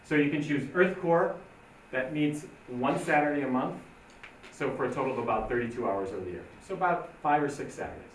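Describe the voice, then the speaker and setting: A man's voice: mid-range voice, expressive, fairly quick. Man presenting in an echoey room. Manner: upbeat, informal explaining. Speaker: program leader. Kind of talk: presenting at an info night. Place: school meeting room. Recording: echoey room, distant mic, faint noise.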